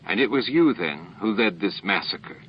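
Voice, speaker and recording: A man's voice: on the low side, animated, moderate pace, highs cut off, slight background noise, close to an everyday voice. A man voicing a stern, grave space station captain in an audiobook, indignant but controlled. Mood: firm, controlled.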